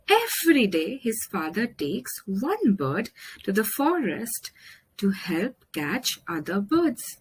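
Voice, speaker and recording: Indian lady reading a picture book for kids, really lively up and down pitch, takes her time, dramatic pauses. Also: breathy edge, low-quality recording. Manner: expressive, deliberate delivery with dramatic pauses. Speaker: storyteller. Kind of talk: children's picture book read-aloud.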